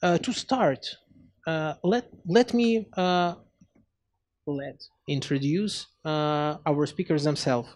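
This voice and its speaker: Male panel moderator, high voice, slow and halting, handheld mic on a conference stage. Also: darkish tone, no echo, studio quality. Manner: hesitant, halting, many restarts. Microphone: handheld microphone.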